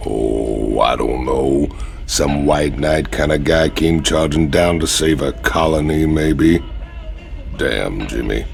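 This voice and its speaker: An actor playing a gruff, crude space outlaw with a drawling Southern US accent in a video game. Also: male voice, a bass voice, takes it slowly, heavily acted. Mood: teasing. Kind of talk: video game cutscene acting. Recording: music behind the voice.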